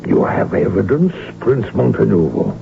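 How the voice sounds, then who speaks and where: A man playing an aged, weary, cautious emperor in a radio drama, speaking in a formal, measured, gravelly voice. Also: fairly low pitch, very animated, full character voice. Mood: grave, guarded. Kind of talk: 1970s radio drama acting. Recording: muffled, noisy old radio broadcast recording.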